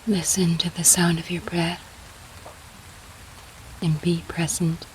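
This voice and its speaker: A woman leading a guided sleep meditation in a soft, breathy, half-whispered, soothing manner. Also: fairly low pitch, little pitch movement, takes it slowly, a little hiss or hum, low-quality recording. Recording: faint rain sound behind. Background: soft rain.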